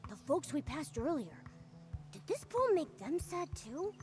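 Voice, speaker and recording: A woman voicing a cute, curious, chatty small furry animal companion in a video game, with a childlike, expressive delivery. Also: high voice, unhurried. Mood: curious, concerned. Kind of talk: voiced video game cutscene dialogue. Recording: loud game music underneath.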